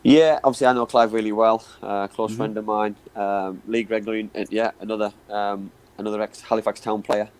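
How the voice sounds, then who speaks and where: A man's voice: lowish voice, steady tone, a little fast, hardly any pauses, a little mellow, low-quality recording. A man giving football analysis in casual podcast chat, repeating "you know what I mean" and restarting phrases. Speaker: football pundit.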